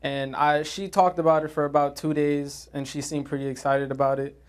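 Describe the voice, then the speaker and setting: A teenage boy, a student actor, answering interview questions hesitantly with many pauses and fillers. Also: fairly high pitch, level tone, smooth. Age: teens.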